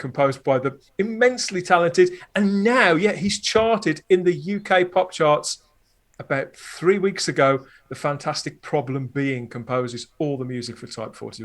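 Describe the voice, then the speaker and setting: A man with a slightly higher-pitched voice talks casually on a podcast. He is chatty and rambles along in a jokey, informal way. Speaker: podcaster, guest on the show.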